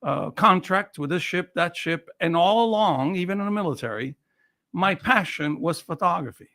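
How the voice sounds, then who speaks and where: A man's voice: sits high, expressive, moderate pace, pushed and bright. A man in his sixties from Naples with an Italian accent, telling personal stories as an interview guest and repeating phrases for emphasis. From Naples, Italy. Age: 60s. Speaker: interview guest, professional photographer. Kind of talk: personal storytelling in a casual interview.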